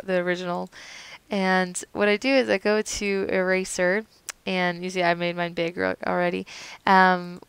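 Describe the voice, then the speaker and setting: A young woman, a little hoarse from a cold, casually talking through a software tutorial with fillers and self-corrections. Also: on the low side, no echo, low-quality recording. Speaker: tutorial host.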